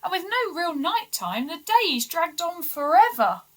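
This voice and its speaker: Slow, expressive woman reading a children's story. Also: very high-pitched. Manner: deliberate, dramatic emphasis. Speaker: story reader for schoolchildren.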